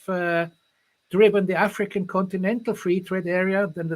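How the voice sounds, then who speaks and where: A man from Ghana with a high-pitched voice talks earnestly on a video call. He often circles back and repeats his phrases before going on. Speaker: guest, rail project executive. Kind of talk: online panel discussion.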